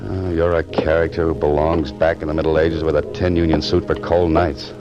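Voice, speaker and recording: An actor playing a wry, tough-talking sleuth in a 1940s radio drama, clipped and sardonic, on a muffled old recording. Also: male voice, a bass voice, little pitch movement, a little fast, music in the background. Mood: brooding narration. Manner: clipped, sardonic, hard-boiled. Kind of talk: 1940s radio detective acting and narration.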